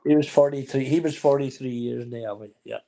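A man's voice: medium pitch, fast talker, gravelly, warm tone, low-quality recording. A man in his seventies with a Northern Irish accent, chatting casually as an interview guest in a plain-spoken way, often repeating his phrases. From Ireland. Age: 70s. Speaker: interview guest, charity founder.

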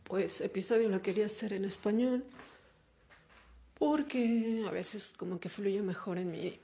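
A woman talking alone in a hesitant, reflective monologue, full of fillers and pauses. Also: medium pitch, dark tone, a little hiss or hum. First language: Spanish. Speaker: podcaster. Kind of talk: personal monologue.

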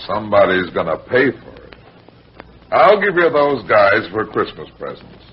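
Deep-voiced American radio private eye. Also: male voice, big pitch swings, moderate pace, a little hiss or hum. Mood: quietly determined. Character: unruffled, tough big-city male private detective. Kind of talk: old-time American radio crime drama acting. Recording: muffled vintage radio recording, music underneath.

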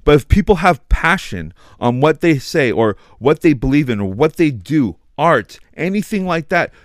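A man hosting a casual podcast chat, talking loudly and passionately, swearing and filling his speech with "like". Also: a little high, big pitch swings, moderate pace, dry recording, clean studio sound. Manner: loud, passionate, swears, heavy use of "like". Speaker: podcast host.

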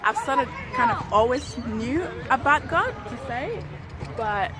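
A woman's voice: high voice, big pitch swings, measured pace. A young woman from Ghana giving an earnest casual testimony outdoors, often saying "you know". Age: young adult. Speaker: interview guest. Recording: loud street crowd and traffic noise. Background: crowd and traffic.

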